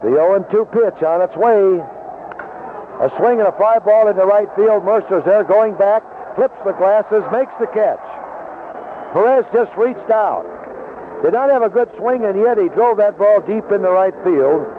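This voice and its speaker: A man calling baseball play-by-play for radio in clipped, descriptive phrases with pauses between plays. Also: sits high. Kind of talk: live sports commentary. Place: outdoor ballpark broadcast booth. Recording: noisy narrow-band 1970s AM radio broadcast.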